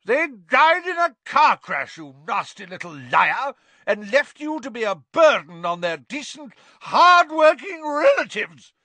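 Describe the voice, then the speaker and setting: A man voicing a loud, bullying, drunken middle-aged aunt with a booming, scornful manner. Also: very animated, moderate pace, no echo, full character voice. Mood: furious.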